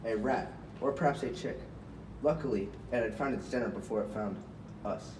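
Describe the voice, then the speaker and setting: A man's voice: fairly low pitch, moderate pace, lightly acted. A man voicing a brave, hard-headed rabbit in an amateur puppet show, blunt and impatient. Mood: matter-of-fact. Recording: echoey, noisy room, far from mic.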